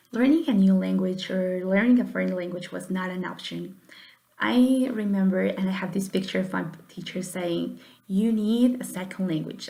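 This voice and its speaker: A young woman giving an earnest, encouraging speech, sometimes halting and restarting her sentences. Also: medium pitch, gravelly, dark tone. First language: Spanish. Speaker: student giving a class speech. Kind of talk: prepared personal speech.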